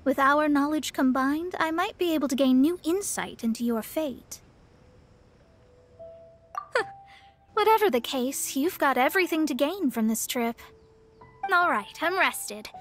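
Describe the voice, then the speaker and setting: Haughty young astrologer. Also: female voice, high voice, lively intonation, measured pace, pauses often. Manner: haughty, self-assured. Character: proud young female astrologer. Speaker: game character. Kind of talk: video game voice acting. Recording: game audio with soft music.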